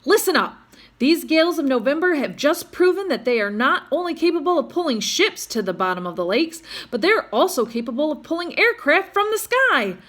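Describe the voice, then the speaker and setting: A woman's voice: high-pitched, sing-song, fairly quick, voice pushed up. A woman voicing a bold male lifeboat captain who commands and rallies his crew in a storybook. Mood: grave briefing. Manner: commanding, shouted orders.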